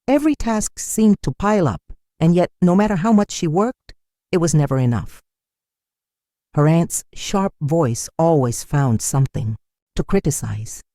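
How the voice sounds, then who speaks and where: A storyteller with a soft, mellow tone narrates a scripted tale in a measured, dramatic way. The pitch moves expressively, and the sound is close and dry. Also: lively intonation, no echo.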